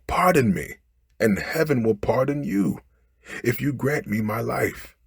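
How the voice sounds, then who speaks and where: A humble storybook fisherman, acted out by a man, implores in formal, old-fashioned phrases. The voice is lifted and very breathy, recorded dry and close. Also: medium pitch, animated, a little slow, no echo, pitched above the performer's own voice, exaggerated voice. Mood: pleading. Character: humble, pleading male fisherman, clever under threat. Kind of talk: storybook character voice.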